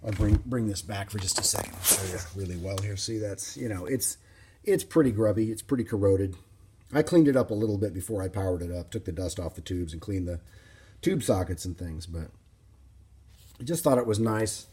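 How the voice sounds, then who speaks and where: A man giving an informal, unscripted hands-on demonstration as a hobbyist, explaining things in a plain-spoken, halting way. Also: on the low side, mellow, slight background noise. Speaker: vintage radio hobbyist presenting a demonstration.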